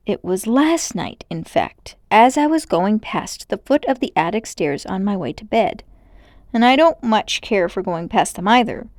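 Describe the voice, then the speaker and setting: A woman voicing an excitable, brooding and adventurous schoolboy in a storybook, speaking emphatically. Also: medium pitch, no echo, clean studio sound. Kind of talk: storybook character voice.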